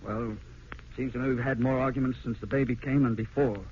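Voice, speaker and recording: An actor playing a discontented young husband, blunt and dismissive, in a vintage radio drama. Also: male voice, medium pitch, steady tone, brisk, close to an everyday voice. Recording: muffled old radio broadcast, faint noise.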